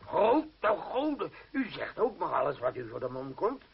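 A grumbling, self-important leader of a theatre company in a radio play. His fairly high voice swings widely as he mutters and blusters. The old recording is dull. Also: very animated, moderate pace, gravelly, slight background noise. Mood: flustered. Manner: theatrical, hesitant muttering and spluttering. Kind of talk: radio drama acting.

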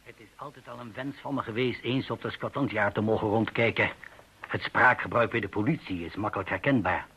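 An actor playing a precise, self-assured linguistics professor in a radio play, measured and pedantic. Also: male voice, lowish voice, bright, forceful tone, slightly rough, full character voice. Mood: curious, pleased. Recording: dull lo-fi radio drama recording.

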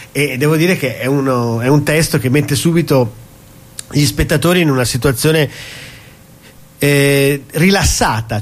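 Man, higher-than-average voice, talkative and explanatory, casual actor interview. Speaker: cabaret actor being interviewed. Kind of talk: casual interview talk about his stage show.

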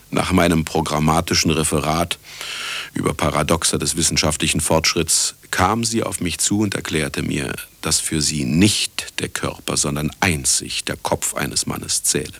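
Old cynical scientist narrating a radio drama, super low gravelly voice, pompous and wry, really dry sound. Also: male voice, no room sound, heavily acted. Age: older. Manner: sardonic, self-important, talking to listener and sound engineer. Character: cynical, vain, retired male scientist telling his own story. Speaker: narrator and lead actor in a radio play. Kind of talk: satirical radio drama monologue.

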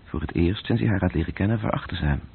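A man narrating a literary radio drama in terse, measured phrases, with a gravelly, breathy voice. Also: deep voice. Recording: muffled, tinny old radio-play recording.

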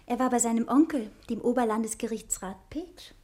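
A woman's voice: a little high, fairly quick, warm tone, lightly acted. A woman playing a poised, guarded wife, polite but cool and pointed, in a 1960 radio crime drama.

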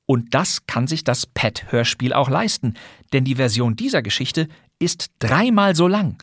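A man's voice: mid-range voice, lively intonation, a little slow, no room sound, clean studio sound. A man hosting a podcast, presenting classic radio plays from a script in a chatty, wry way. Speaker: podcast host.

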